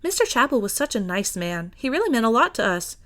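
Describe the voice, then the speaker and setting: Bubbly, high-voiced young woman acted by a woman. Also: sing-song, fast, a bit bright. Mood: eager, effusive. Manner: gushing, enthusiastic. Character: bubbly, friendly young woman bookstore owner, eager and effusive. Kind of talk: acted fiction reading.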